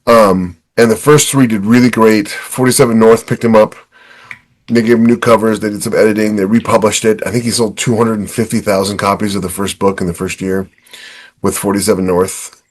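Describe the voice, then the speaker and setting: Low-voiced man telling podcast anecdotes. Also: steady tone, brisk. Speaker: podcast co-host and author. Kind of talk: casual anecdotal podcast talk.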